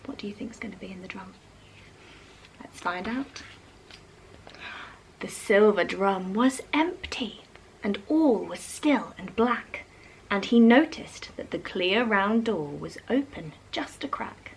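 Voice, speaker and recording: Slow, animated woman reading a picture book. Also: mid-range voice, creaky, slight background noise, low-quality recording. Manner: animated, addresses young listeners. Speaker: children's author and storyteller. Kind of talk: expressive read-aloud of a rhyming picture book.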